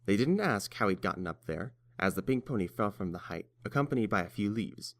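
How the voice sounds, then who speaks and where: A man's voice: lowish voice, expressive, fairly quick, fairly bright tone. A man reading scripted story narration as the narrator.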